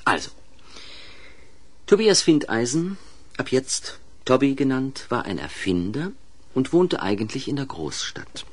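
Animated male children's storyteller. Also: medium pitch, husky. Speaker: narrator of a children's audio play. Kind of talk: scripted children's story narration.

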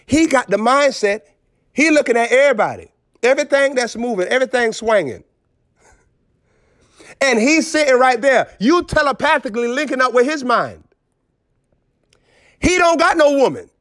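A man's voice: extremely high pitch, expressive, moderate pace, pauses often, a bit bright, dry recording, studio quality. A male preacher giving a streamed sermon in an emphatic, repetitive, scolding manner. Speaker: prophet preacher.

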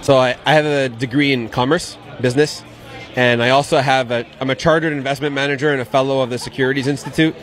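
A man's voice: mid-range voice, fairly even intonation, fast talker, a faint crowd. A man, around his thirties, answering questions about his work in an outdoor street interview. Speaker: interviewee, investment banking business owner. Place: outdoor patio at an event. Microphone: handheld interview mic.

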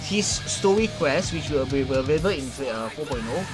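A young man hosts a casual reaction video from a small room at home. His delivery is animated in pitch but halting, with words often repeated while he searches for the next. Clear trailer music plays behind him. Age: young adult.

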